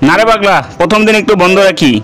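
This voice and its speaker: A man's voice: sits high, quick pace, a bit bright. A man voicing a sly, cheerful village sap thief in a cartoon, with music behind him. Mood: scheming. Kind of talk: Bengali cartoon dubbing.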